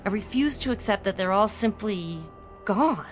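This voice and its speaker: A woman's voice: mid-range voice, lively intonation, normal speed, soft background music, close to an everyday voice. An actress playing a worried, determined townswoman in a fantasy game, earnest and urgent. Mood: insistent, determined. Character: worried, determined female city-dweller seeking missing people.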